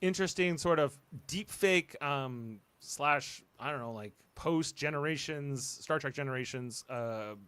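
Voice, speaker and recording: A man's voice: medium pitch, a little slow, few pauses, a bit bright, smooth, no echo. A man hosting a casual panel podcast, reading out show announcements in a rambling, hesitant way that often trails off. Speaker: podcast host.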